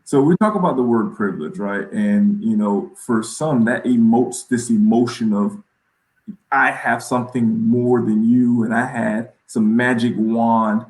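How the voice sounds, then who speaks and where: Male moderator, conversational, halting and roundabout with questions, recorded in a very echoey room. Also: mid-range voice, a little mellow. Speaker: host, moderator.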